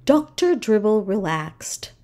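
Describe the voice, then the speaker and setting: A woman narrates a children's story in an expressive read-aloud style. Her pacing is extremely slow and deliberate, and her pitch moves in lively, dramatic swings.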